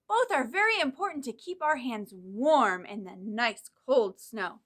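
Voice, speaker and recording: Very bright, high, animated woman for children. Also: unhurried. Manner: animated, encouraging, speaks directly to young children. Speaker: children's librarian leading storytime. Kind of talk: children's storytime with singing and reading aloud.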